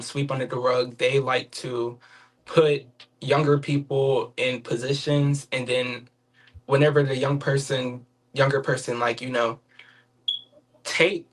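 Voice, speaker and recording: A young man from Mississippi, a podcast guest sharing his story in an earnest, measured way. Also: mid-range voice, rough recording. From Mississippi, USA. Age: young adult. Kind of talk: sharing a personal testimony.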